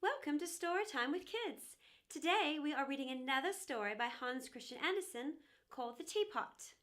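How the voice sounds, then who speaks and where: A woman with a high-pitched voice hosts a storytime for children. She reads aloud expressively, leaning on key words with dramatic, emphatic phrasing. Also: expressive, normal speed, a bit bright.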